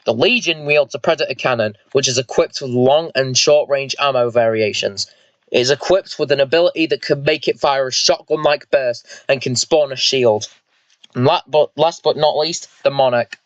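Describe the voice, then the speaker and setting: A man's voice: mid-range voice, normal speed, no echo, highs cut off, close to an everyday voice. A man voicing an eager, vengeful squad leader and mech pilot in an amateur sci-fi story, informal and explanatory. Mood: proud, explaining.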